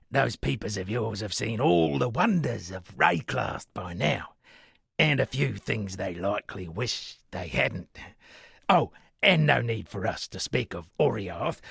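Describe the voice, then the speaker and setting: An actor voicing an eccentric washed-up sea captain and would-be poet in a video game, gravelly and husky, with theatrical, rambling, florid delivery. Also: male voice, on the low side, a bit bright, no room sound, clean studio sound, heavily acted. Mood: wry, musing. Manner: theatrical, rambling, florid old seafaring turns of phrase. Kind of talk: video game character dialogue.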